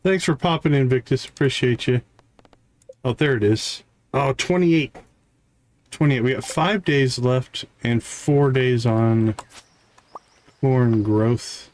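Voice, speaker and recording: A man's voice: mid-range voice, takes it slowly, long pauses, a little mellow. A man doing casual live game commentary, thinking aloud and talking himself through each task step by step. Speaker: live game streamer, host.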